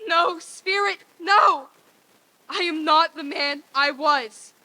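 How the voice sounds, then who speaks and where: A woman's voice: very high-pitched, slow, pushed and bright, heavily acted. A woman playing a frightened, pleading old miser in an old-time radio play reading on stage. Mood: desperate pleading. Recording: hissy, noisy live stage recording.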